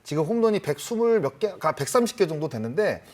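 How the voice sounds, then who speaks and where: Casting: male pundit with a high-pitched, very expressive voice, arguing his picks on a sports panel. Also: fast talker. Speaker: baseball analyst. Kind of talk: unscripted sports panel talk.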